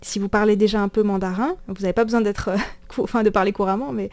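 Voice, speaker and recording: Female radio presenter, mid pitch, explanatory and conversational, pausing often to find words. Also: fairly even intonation, a little mellow.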